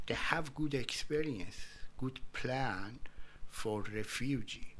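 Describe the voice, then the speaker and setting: A man guest in a conversational interview, speaking halting English as a second language in short broken phrases. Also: medium pitch, a bit bright, slight background noise. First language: not English.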